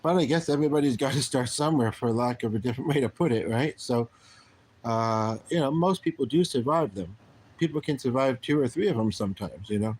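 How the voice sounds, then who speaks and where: A middle-aged man from Canada chatting casually on his own livestream, rambling and halting with many pauses and restarts. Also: mid-range voice, darkish tone, breathy edge. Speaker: livestream host.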